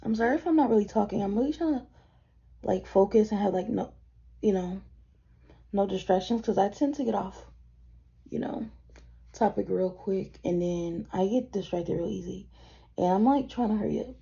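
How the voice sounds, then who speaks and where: A woman's voice: normal speed, creaky, long pauses, warm tone, husky. Tired woman, 21, rambling vlogger chat, casual swearing, filmed at her desk on dull lo-fi camera audio. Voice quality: a little deeper than usual from tiredness. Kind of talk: casual get-ready-with-me chat.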